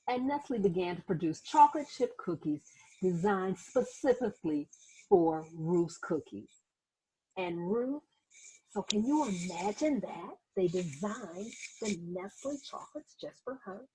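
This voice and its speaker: A woman reads a picture book aloud with a lively, swooping delivery and a slightly husky edge to her voice. She performs the story dramatically, in the manner of a teacher speaking to young children, and turns to her listeners with asides. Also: mid-range voice, poor audio. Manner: animated, dramatic, acts out sound words and exclamations.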